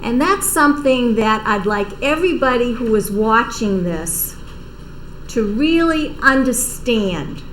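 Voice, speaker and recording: An older woman with a Boston accent, an outreach coordinator giving slow, explanatory answers on a panel and stressing key terms. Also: fairly high pitch, a little hiss or hum, rough recording. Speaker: outreach coordinator for an aging services office. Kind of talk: informative panel talk.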